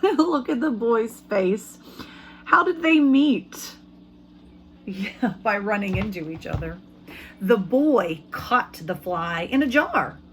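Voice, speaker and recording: A woman teacher giving an expressive read-aloud lesson for young children, pausing to ask them questions. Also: mid-range voice, low-quality recording. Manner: expressive storybook reading. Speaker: elementary school teacher.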